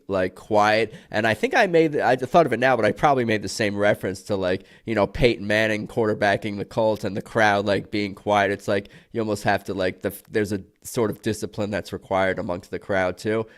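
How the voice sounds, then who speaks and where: A man's voice: on the low side, a little fast, few pauses. A man hosting a podcast, chatting casually and rambling with many fillers. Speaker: podcast host.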